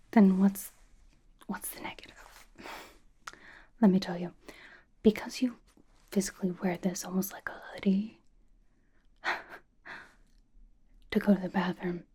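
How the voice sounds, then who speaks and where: Casting: woman to play young female pop idol for ASMR roleplay; very breathy whisper, soft dark tone, dryly doting, casual, fussily over-explains comfort. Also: mid-range voice. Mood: dryly cautionary. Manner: hushed, casual, playfully over-explaining, dry emphasis.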